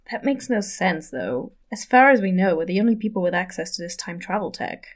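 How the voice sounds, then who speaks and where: A woman's voice: lowish voice, lively intonation, brisk. A woman playing a weary, self-doubting second-in-command in a naturalistic audio drama, speaking in an understated, hesitant way. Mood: worried.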